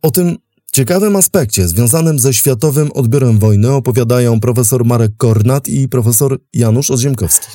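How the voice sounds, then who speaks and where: A man's voice: medium pitch, normal speed, warm tone, no echo, studio quality. A man narrating a historical documentary from a script as its host. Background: faint music.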